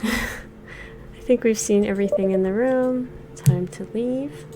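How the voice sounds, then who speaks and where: A woman's voice: a little high, takes it slowly, noisy background. A woman in her forties giving thoughtful, hesitant let's play commentary with many fillers while reviewing a game. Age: 40s.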